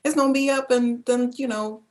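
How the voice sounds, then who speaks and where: A woman's voice: fairly high pitch, fairly even intonation, fairly quick, darkish tone, a little hiss or hum. A woman, an actor, talking casually and emphatically in a panel discussion. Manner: informal, emphatic, conversational.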